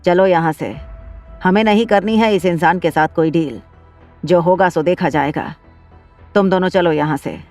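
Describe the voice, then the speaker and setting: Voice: medium pitch, normal speed, long pauses, darkish tone, soft background music, close to an everyday voice. A narrator voicing a desperate middle-aged man who defends his failing family business. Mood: firm. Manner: pleading then angry.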